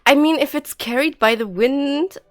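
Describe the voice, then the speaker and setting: A woman's voice: sits high, moderate pace, no echo, soft background music. A woman livestreaming a game with casual, informal chat and commentary, her nose congested and her voice slightly nasal. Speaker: game streamer.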